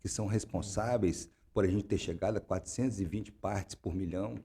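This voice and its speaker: A man talks through an interview in a loose, rambling way, often restarting and tagging his sentences with questions. His voice is dark and husky. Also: low voice, fairly quick. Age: 60s. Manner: rambling, frequent "né?" tags and restarts. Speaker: interview guest. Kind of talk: conversational interview.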